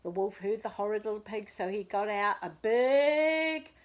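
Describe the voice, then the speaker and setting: A woman storyteller reading a children's tale expressively and deliberately, as if to young listeners. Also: medium pitch, expressive. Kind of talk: scripted children's story narration.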